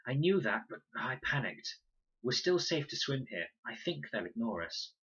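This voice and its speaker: A calm, knowing young girl in a storybook played by a man. The voice is pitched up and a little husky, explaining things in a measured, practical way. The sound is slightly dull. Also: heavily acted. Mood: calm, reasoning.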